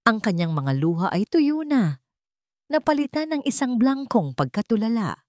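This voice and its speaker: A woman's voice: a little high, big pitch swings, moderate pace, a little mellow, dry recording, clean studio sound. A woman reading a scripted romantic drama as a storyteller, in dramatic narration.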